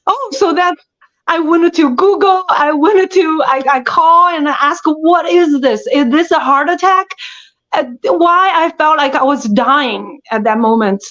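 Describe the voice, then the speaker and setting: A woman with a thick Chinese accent, an aspiring actress speaking in an interview, halting and laughing often. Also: sits high, fairly bright tone. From China. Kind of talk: interview conversation. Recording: dull lo-fi audio.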